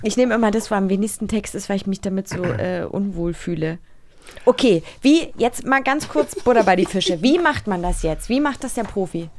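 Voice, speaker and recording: A woman's voice: mid-range voice, animated, moderate pace, slight background noise. Woman, mid-range voice, podcast co-host, casual, joking, questioning.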